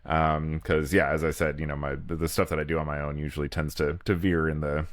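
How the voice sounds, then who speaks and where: A man's voice: rumbling low, flat delivery, a little fast, no room sound, clean studio sound. An American man in casual podcast co-host chat, hesitant and prone to swearing and easy laughter.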